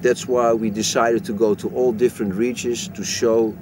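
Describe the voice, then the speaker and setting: A man's voice: mid-range voice, level tone, moderate pace, noisy background. A middle-aged man who grew up in Africa, leading an expedition and speaking in plain, slightly broken English. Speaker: expedition leader. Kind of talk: documentary interview and field talk.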